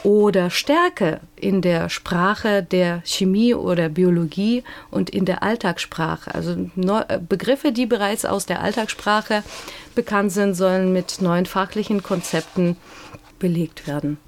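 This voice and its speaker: A woman's voice: medium pitch, slight background noise. Woman expert explaining steadily. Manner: measured, explains with occasional hesitations. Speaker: expert guest. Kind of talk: explanatory discussion.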